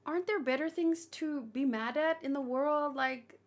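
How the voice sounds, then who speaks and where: Woman, high voice, casual live commentary, opinionated, informal rambling, read-along host. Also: normal speed. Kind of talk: casual live commentary, reading and answering chat.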